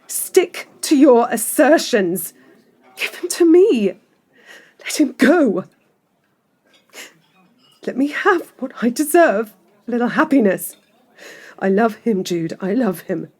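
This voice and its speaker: A middle-aged woman with a high voice, speaking as a long-suffering lover in an audio drama. She is insistent and emotional, pleading in articulate phrases. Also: big pitch swings, slow, pauses often, darkish tone, full character voice. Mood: indignant, pleading. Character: desperate pleading mistress, lovelorn and long-suffering.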